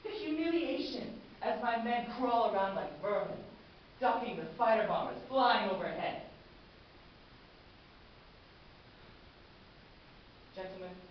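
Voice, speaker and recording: A man playing a fanatical, war-loving military commander in a grandiose, savoring theatrical monologue, addressing his battalion with deliberate oratory. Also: high voice. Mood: impassioned, rousing. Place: large echoing room, festival stage performance. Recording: echoey hall from far off, muffled, hissy.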